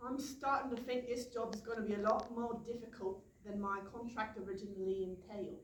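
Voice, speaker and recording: A woman's voice: medium pitch, steady tone, a little fast, natural voice. A woman playing an earnest, anxious and dutiful castle guard, easily exasperated, in a staged reading of a comic play. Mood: indignant. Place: studio theatre. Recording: echoey theatre, distant mic, clear noise.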